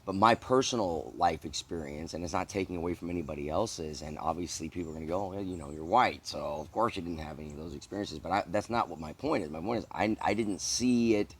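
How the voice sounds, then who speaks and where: A middle-aged man from the American South interviewing a guest, speaking thoughtfully with many halting restarts. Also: low-pitched, husky. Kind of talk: casual interview.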